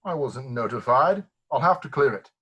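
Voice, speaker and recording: Man playing a grim husky officer. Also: mid-range voice, lively intonation, moderate pace, fairly bright tone. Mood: suspicious. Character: grim, stiff male imperial security officer. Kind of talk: table-read acting.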